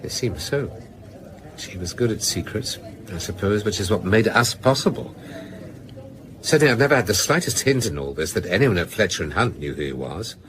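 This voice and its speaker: An actor in a radio drama playing a rueful, sardonic novelist who confesses in a measured, hesitant way. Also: male voice, on the low side, expressive, a bit bright, slight background noise. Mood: rueful, guarded.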